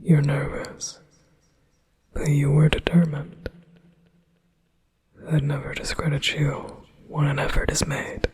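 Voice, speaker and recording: A possessive male demon murmurs close to the ear, voiced by a man in an ASMR roleplay. His whisper is heavily breathy and slow, with little rise or fall in pitch. He sounds silky, flattering and persuasive. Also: heavily acted. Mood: coaxing.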